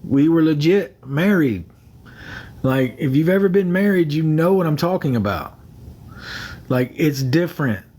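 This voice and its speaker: A man's voice: fairly high pitch, expressive, measured pace, darkish tone. A young man chatting on a phone livestream, rambling, repeating himself and thanking viewers by name. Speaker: livestream host. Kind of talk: casual livestream chat with viewers.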